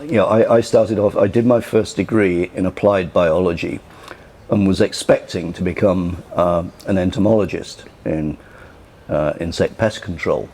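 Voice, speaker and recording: An older man from England, recalling his career in a relaxed, rambling way. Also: deep voice, a little hiss or hum. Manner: relaxed, rambling, frequent "you know" and restarts. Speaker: interviewee. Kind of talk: casual recollection.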